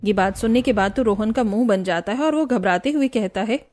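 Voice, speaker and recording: A woman's voice: medium pitch, brisk, a little mellow. A woman storyteller reading a scripted narration for a cartoon family tale.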